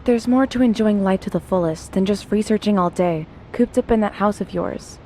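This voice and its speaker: A woman's voice: medium pitch, moderate pace, dark tone, slight background noise, close to an everyday voice. A woman playing a composed, no-nonsense young security agent in an audio drama, level-headed, dry and firm. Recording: music behind the voice.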